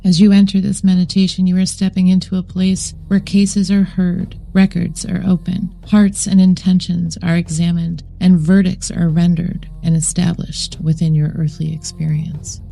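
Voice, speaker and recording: A woman reading a scripted guided meditation in a solemn, measured way, with deliberate phrasing. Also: fairly low pitch, flat delivery, creaky, dark tone. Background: background music.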